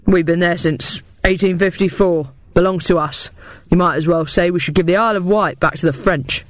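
A man voicing a belligerent war veteran cafe owner in an audiobook, with a gruff, gravelly voice and blunt manner. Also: a little high, fairly even intonation, slow, a little mellow, no echo. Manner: blunt, muttering, colloquial.

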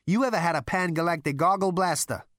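A man's voice: on the high side, very animated, brisk, dry recording, clean studio sound, voice pushed up. A man reading an audiobook, voicing a brash, food-obsessed space rogue who talks in flippant, demanding, incredulous slang. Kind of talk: audiobook character voice.

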